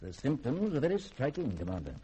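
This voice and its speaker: An actor playing a learned, secretive middle-aged scientist in a 1950s radio drama, speaking in formal, polished, theatrical sentences with a husky edge. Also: male voice, mid-range voice, wildly swooping pitch, a little fast, warm tone, full character voice. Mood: grave, ominous.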